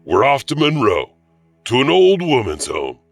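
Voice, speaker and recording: A man's voice: medium pitch, huge pitch swings, a little slow, creaky, dry recording, distant mic, faint music behind. A man narrating scripted urban legends as a storyteller.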